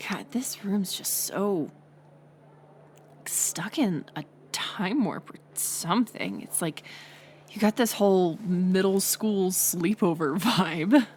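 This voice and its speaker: A young woman playing a mocking college bully in an audio roleplay, sarcastic and teasing, with a hidden softer side. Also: medium pitch, lively intonation, takes it slowly, slight background noise. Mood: dismissive. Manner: sarcastic, teasing, scoffing with sighs and breathy asides. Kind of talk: audio roleplay acting, intimate one-sided dialogue.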